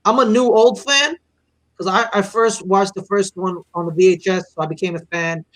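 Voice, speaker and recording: Young co-host, man, early 20s, high voice, eager and slangy, stumbling over his words. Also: normal speed, low-quality recording. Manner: excitable, slangy, stammers and repeats. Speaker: podcast co-host. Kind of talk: casual podcast conversation.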